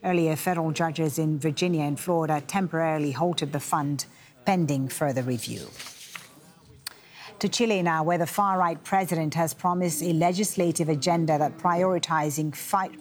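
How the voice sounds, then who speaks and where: A woman reading scripted news as an anchor, with a husky voice. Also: sits low. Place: broadcast studio.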